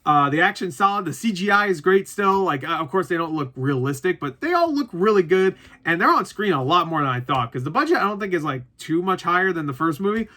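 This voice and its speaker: Bright, higher-pitched man chatting casually. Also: animated, fast, hardly any pauses. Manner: rambling, self-correcting, sweary. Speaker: movie reviewer. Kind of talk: casual unscripted review chat to viewers.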